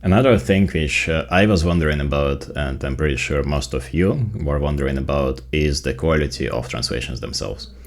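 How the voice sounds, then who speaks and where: A man with a Ukrainian accent, a native Ukrainian speaker, narrating a casual software tutorial in an explanatory way, stressing his key points. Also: extremely low pitch, normal speed. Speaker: tech video creator.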